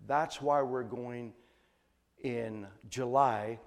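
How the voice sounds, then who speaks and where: A man preaching a sermon as a missionary teacher, emphatic, repeating phrases for weight and swinging between hushed line endings and loud stresses. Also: mid-range voice, fairly even intonation, very slow, in a large hall. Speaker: missionary preacher and Bible translation trainer.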